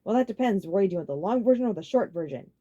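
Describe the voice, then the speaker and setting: A woman's voice: medium pitch, animated, fast, dark tone, lo-fi sound, voice pushed up, close to an everyday voice. A woman voicing a sarcastic, short-tempered older brother who is passionate about music, in an audiobook story. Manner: exaggerated, seething sarcasm.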